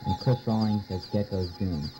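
A man's voice: lowish voice, normal speed, poor audio. A man reading out scripted credits as an announcer for an amateur production.

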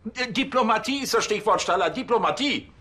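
An actor playing a fussy, exasperated police chief, stammering and pedantic in a TV police comedy. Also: male voice, sits high, lively intonation, fairly quick, pushed and bright.

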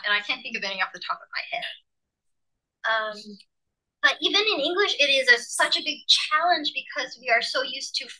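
A woman from Malaysia, a professor, leading an online teaching workshop over a video call and explaining with lively emphasis. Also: fairly high pitch, low-quality recording.

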